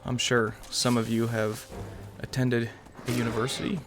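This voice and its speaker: A man's voice: medium pitch, little pitch movement, normal speed. A young man giving casual let's-play commentary as a gaming video host, musing aloud in a rambling way over game music. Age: young adult.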